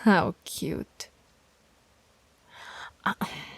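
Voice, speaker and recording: A woman voicing a stern, commanding female werewolf pack alpha in an ASMR audio roleplay, bossy and scolding, sometimes dropping to soft whispers. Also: drawn-out words, no echo. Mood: teasing.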